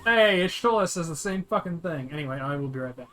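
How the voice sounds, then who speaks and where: A man's voice: a little high, expressive, fast talker, a bit bright, soft background music. A man giving casual, sarcastic, sweary game commentary that describes the scenes for blind players. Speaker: host of a descriptive let's play for blind players.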